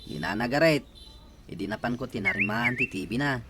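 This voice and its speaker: A man's voice: mid-range voice, brisk, background noise, natural voice. A male actor playing a stubborn, aggrieved old village husband in a radio drama, grumbling with an Ilocano accent. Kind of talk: Filipino radio drama acting.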